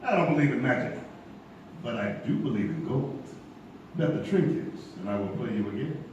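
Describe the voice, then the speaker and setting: An actor playing a suave, menacing gambler and killer with florid, mock-courteous speech in a live stage radio play. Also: male voice, mid-range voice, expressive, normal speed, darkish tone, dull audio, natural voice. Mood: cold menace. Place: theater stage before an audience. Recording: echoey theater, distant mic, background noise.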